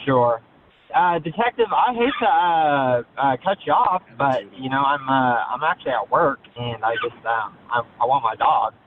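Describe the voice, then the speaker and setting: A man calling on a thin phone line, talking in a halting, hesitant, evasive way. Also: medium pitch, lively intonation, a crowd in the background. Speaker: caller.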